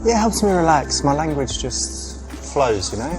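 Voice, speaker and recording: A man's voice: fairly high pitch, big pitch swings, moderate pace, loud music behind the voice. A man acting as a friendly, flirtatious character in casual, slightly hesitant conversation for a live-action game. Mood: relaxed, casual.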